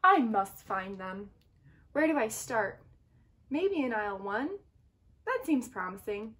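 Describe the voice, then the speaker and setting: Woman acting anxious, bossy little egg, high and animated. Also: takes it slowly, full character voice. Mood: determined, puzzled. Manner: dramatic, fretful, commanding, expressive. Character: anxious, bossy, earnest young egg leading his friends. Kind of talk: animated picture-book read-aloud.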